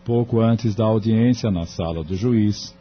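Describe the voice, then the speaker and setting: A man narrating a scripted audio drama in a measured storytelling manner. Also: fairly low pitch. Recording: dull lo-fi audio. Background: music.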